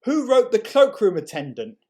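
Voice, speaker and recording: A man's voice: high-pitched, sing-song, normal speed, darkish tone, voice pushed up. A man voicing a gauche, eager teenage boy in a story, blurting and cocky. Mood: loud, excited. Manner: blurting, over-keen, cocky.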